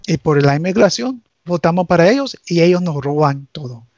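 A man from Nicaragua whose first language is English, speaking Spanish haltingly as a guest and reaching for English words. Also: on the high side, animated, darkish tone, no room sound, highs cut off. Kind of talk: casual chat.

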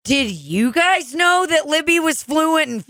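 Brash co-host woman, bright lively voice, swears a lot. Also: high voice, a little slow, no echo, studio quality. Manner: crude, loud, swears often. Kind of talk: casual chat.

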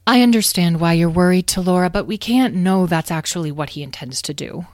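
A weary but resolute mother dragon from a storybook, read by a woman. Her voice is a natural, slightly low female one with a close, dry sound, measured and serious yet caring. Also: no room sound, close to an everyday voice. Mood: grave, reasoning.

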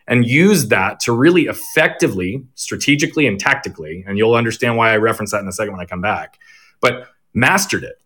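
A man's voice: mid-range voice, a bit bright. A young man guest on a podcast, telling his life story in an articulate, reflective way. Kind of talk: conversational storytelling.

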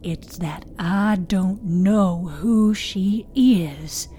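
Woman playing a hushed, haunted female story keeper. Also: medium pitch, breathy edge. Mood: troubled. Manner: hushed, deliberate. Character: grave, troubled older woman story keeper, haunted and uncertain.

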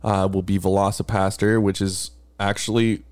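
Low-voiced rambling male podcast host. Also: flat delivery, moderate pace, a little mellow, clean tone. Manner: rambling, filler-heavy, joking. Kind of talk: casual podcast chat.

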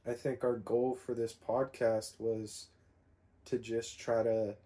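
A man in his twenties, co-hosting a casual podcast with his mother. His voice is mellow and dark with a fairly flat delivery. He rambles loosely with plenty of fillers. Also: mid-range voice, slow pace. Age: 20s. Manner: relaxed, rambling, many fillers. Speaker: podcast co-host. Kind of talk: casual conversation.

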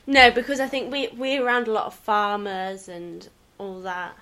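A woman's voice: mid-range voice, flat delivery, moderate pace, pushed and bright. A young woman, chatting at home with her best friend and adding short, dry remarks. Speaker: podcast co-host, best friend. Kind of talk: casual chat between friends. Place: shared home.

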